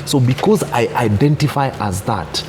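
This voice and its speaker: A man's voice: medium pitch, animated, moderate pace, a little mellow, slight background noise. Emphatic Kenyan man in his thirties. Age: 30s. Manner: emphatic, repeats "unanishika?" to check understanding. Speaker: interview guest, gospel DJ. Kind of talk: casual interview, mixing English and Swahili.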